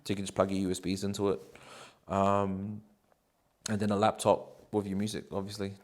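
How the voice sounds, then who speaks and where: A man, answering interview questions in a relaxed, rambling way with many ums and likes. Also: fairly low pitch, fairly quick. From New Zealand. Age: 30s.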